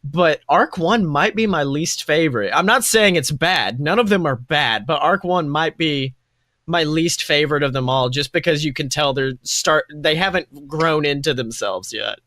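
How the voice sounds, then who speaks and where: Gushing, higher-pitched male podcast co-host. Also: normal speed, pushed and bright, dry recording, studio quality. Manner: gushing, enthusiastic. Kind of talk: casual fan chat.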